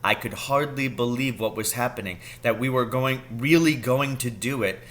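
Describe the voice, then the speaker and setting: A man reading a children's story aloud as an expressive narrator. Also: mid-range voice, normal speed. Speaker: story reader.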